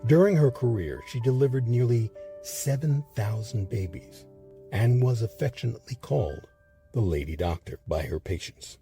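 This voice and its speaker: A man narrating a scripted history documentary in a measured, expressive storytelling manner. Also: medium pitch, creaky, faint music behind.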